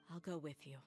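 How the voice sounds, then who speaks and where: A woman's voice: sits low, fairly bright tone, full character voice. An actress playing a tough, loyal young woman warrior, speaking firmly and matter-of-factly in a video game.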